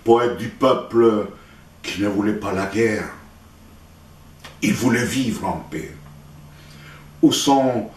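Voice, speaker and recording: A man from Chile with a Chilean Spanish accent, a native Spanish speaker, recites his poetry in a solemn, declamatory way with deliberate pauses. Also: fairly low pitch, slight background noise. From Santiago, Chile. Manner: deliberate, declamatory, with pauses between short phrases. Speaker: poet reading own work. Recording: roomy echo, distant microphone.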